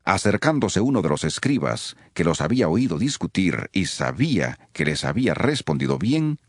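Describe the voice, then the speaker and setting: A man's voice: low voice, very animated, normal speed, husky, no room sound, studio quality. A man narrating scripture from a script as the storyteller of an audio production.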